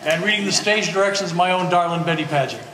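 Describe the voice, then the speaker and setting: A man giving an informal, halting, jovial introduction of a cast as the playwright. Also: sits high, a crowd in the background. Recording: echoey hall, faint background noise.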